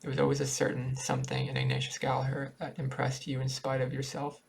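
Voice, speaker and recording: Guy reading a short story out loud, really creaky husky voice, kinda halting delivery. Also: mid-range voice, sing-song. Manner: halting, stumbles and rereads words. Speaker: amateur story reader.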